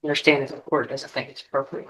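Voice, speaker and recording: A woman prosecutor giving a formal explanation to a judge in a measured, deferential way. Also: a bass voice, level tone, fairly bright tone, a little hiss or hum, poor audio.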